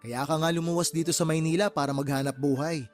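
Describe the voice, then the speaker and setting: An actor playing a firm, fair-minded uncle and shop boss who reasons and admonishes, in a Tagalog radio drama. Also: male voice, a little high, steady tone, fast, soft background music, lightly acted. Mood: admonishing.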